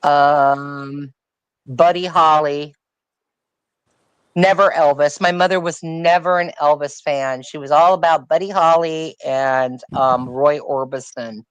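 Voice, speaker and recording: A woman's voice: deep voice, steady tone, slow, clear, resonant tone, no room sound, low-quality recording. Emphatic opinionated woman, dry sound. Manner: opinionated, emphatic, repeats points. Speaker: panel guest. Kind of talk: casual chat on a live stream.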